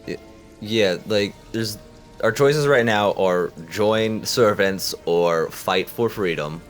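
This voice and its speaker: A man's voice: on the low side, slow pace, faint music behind. A man chatting casually as a player in a tabletop game, thinking aloud about his character. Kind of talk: casual chat.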